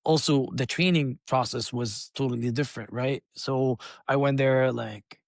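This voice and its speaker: A man's voice: mid-range voice, fairly even intonation, a little slow, gravelly, no echo, studio quality. A young man from Egypt, a guest on an interview podcast, telling his story in a loose, chatty way with many restarts.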